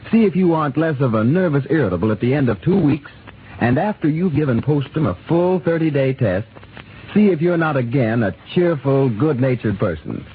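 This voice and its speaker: A male radio announcer with a lively, swooping delivery reads the sponsor's pitch and sets the scene. He comes through a tinny 1930s broadcast with faint hiss behind. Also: brisk. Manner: smooth, expressive salesmanlike delivery.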